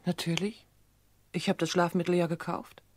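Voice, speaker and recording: An actress playing an anxious grown daughter under suspicion in a radio drama, speaking frankly and insistently. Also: female voice, on the low side, level tone, moderate pace, dry recording, natural voice. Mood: tense.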